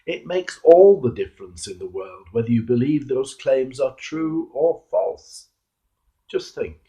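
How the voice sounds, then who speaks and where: An older man with a British accent, a clergyman reading a homily aloud in a measured, earnest way with deliberate pauses and emphasis. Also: mid-range voice, expressive, warm tone, low-quality recording.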